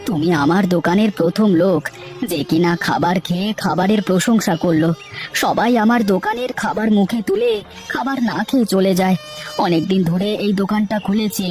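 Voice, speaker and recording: A woman doing a poor village man in a cartoon who is humble, polite and pleading. The voice sits at a middle pitch, with music underneath. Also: animated, fairly quick, a little mellow, natural voice. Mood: kind. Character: poor, pleading working man, the hotel keeper. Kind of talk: cartoon voice acting. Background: background music.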